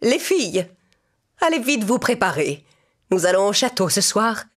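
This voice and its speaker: A woman's voice: mid-range voice, very animated, unhurried. A woman voicing a wicked, scheming stepmother in a children's cartoon, imperious and theatrical. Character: wicked, bossy, scheming middle-aged stepmother.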